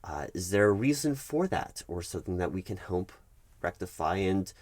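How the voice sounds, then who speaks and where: A man acts out a stern, patient male HR manager in an ASMR office roleplay. His voice sits fairly low and is recorded close and dry. He is formal and halting, often doubling back on words to press his point. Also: expressive, darkish tone, no room sound. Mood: measured, concerned. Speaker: recruiting HR manager. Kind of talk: one-sided ASMR roleplay of a work meeting.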